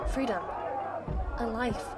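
A woman playing a nervous, flustered young survivor in a sci-fi audio drama, hesitant and excitable. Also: fairly high pitch, slow pace. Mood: hopeful. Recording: music and crowd ambience behind.

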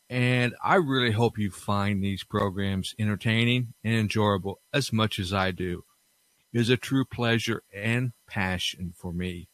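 Low-voiced man hosting a podcast. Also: a bit bright, no echo. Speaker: podcast host of old-time radio shows. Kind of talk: casual, sincere podcast sign-off.

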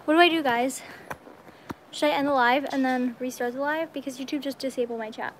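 A young American woman with a high-pitched voice chats casually to her livestream. She is excitable and full of slang. The sound comes from a phone held at arm's length in a horse stall, with faint barn noise around her. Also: brisk. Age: young adult. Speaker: livestreaming vlogger. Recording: noisy barn ambience, handheld phone.

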